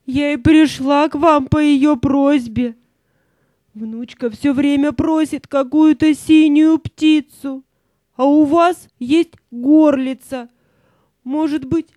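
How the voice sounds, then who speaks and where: A woman playing a poor, sorrowful old grandmother in an audio fairy-tale play, tearful and halting. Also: sits high, warm tone, dry recording, studio quality.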